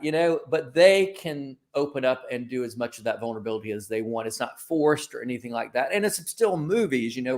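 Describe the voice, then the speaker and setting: A man speaking as an interview guest, giving informal, discursive answers and often rephrasing himself as he goes. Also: medium pitch. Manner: informal, discursive, self-correcting. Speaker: guest, creative company founder.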